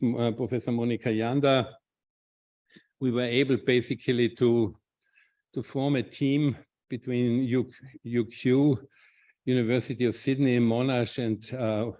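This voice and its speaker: Halting Austrian man giving a talk. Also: medium pitch, a little mellow. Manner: halting, many restarts and repeated words. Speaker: invited speaker, clinician researcher. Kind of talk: conference talk with slides.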